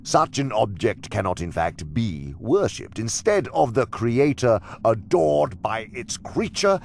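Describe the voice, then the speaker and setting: An actor playing a cunning, condescending senior demon who lectures with imperious scorn in an audio drama. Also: male voice, mid-range voice, big pitch swings, gravelly, a little mellow, slight background noise. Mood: smug lecturing. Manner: scornful, deliberate, theatrical lecturing. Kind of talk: audio drama monologue-like instruction.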